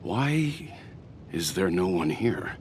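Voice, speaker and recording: A man voicing a calm, curious, middle-aged scholarly gentleman in a video game dub, measured and dryly amused. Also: sits low, a little hiss or hum, heavily acted. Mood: pondering.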